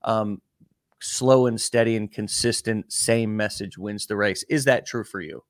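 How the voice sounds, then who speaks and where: A man's voice: fairly low pitch, fairly even intonation, unhurried, no echo, studio quality. A man hosting a coaching interview, encouraging and asking long, rambling questions. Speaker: host.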